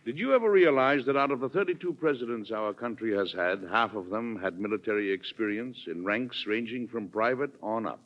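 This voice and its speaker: An American actor playing a courteous, reluctant wartime president with measured dignity in a 1940s radio drama. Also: male voice, fairly low pitch, close to an everyday voice. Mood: genial, reflective. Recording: dull old radio transcription, music behind.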